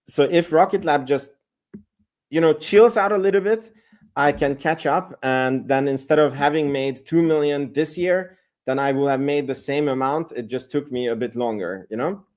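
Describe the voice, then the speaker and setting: A man's voice: mid-range voice, darkish tone. A man from Hungary, hosting a casual livestream and talking loosely with many restarts. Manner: casual, rambling with frequent restarts. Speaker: host.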